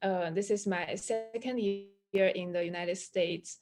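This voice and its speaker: A young woman originally from China introduces herself on an online panel, speaking at a middling pitch. She pauses often and fills gaps with small hesitations. The sound comes through a video call. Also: fairly even intonation, a little mellow. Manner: hesitant, many fillers. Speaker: panelist, PhD student.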